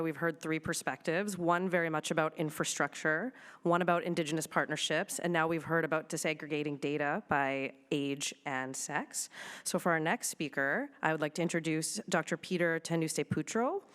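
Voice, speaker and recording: A woman with a very low voice moderates a conference panel. She sums up and introduces speakers in a measured, orderly way. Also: fairly bright tone.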